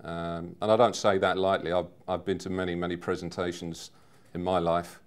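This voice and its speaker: A man in his fifties giving a personal testimonial in a measured, earnest way. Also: deep voice, little pitch movement, smooth. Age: 50s. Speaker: seminar attendee giving a testimonial.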